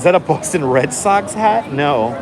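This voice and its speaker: A man hosting a casual live stream, chatting calmly and enunciating clearly while he waits in line outdoors. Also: a little high, moderate pace, a crowd in the background. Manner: calm, enunciated, chatty with viewers. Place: outdoors on a city street waiting in line. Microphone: phone held for a live stream. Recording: noisy outdoor phone stream. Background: city traffic and street noise.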